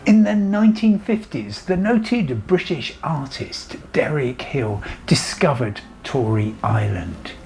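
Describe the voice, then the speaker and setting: A man's voice: medium pitch, vocal fry, darkish tone, a little hiss or hum, low-quality recording. A man presenting a travel documentary in polished, enthusiastic scripted narration.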